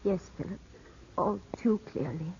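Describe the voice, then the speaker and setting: An actress playing an earnest, anxious young woman with a husky voice in a 1950s radio drama. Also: lowish voice, a little slow, over loud music. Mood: resigned. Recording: dull 1950s radio recording.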